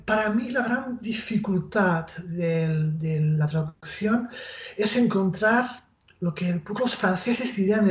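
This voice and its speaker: A man in his forties with a Spanish accent, a literary translator answering interview questions over a video call, pausing and restarting often and adding tag questions. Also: high-pitched, a little mellow. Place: small room at home with bookshelf. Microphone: earphone mic on video call. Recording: roomy, dull lo-fi call audio.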